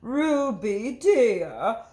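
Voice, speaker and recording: A kind woman schoolteacher from a children's book, as a reader puts her on. She is gentle and encouraging, with a high voice and slow, measured delivery. There is some room echo. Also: sing-song, full character voice. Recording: roomy echo.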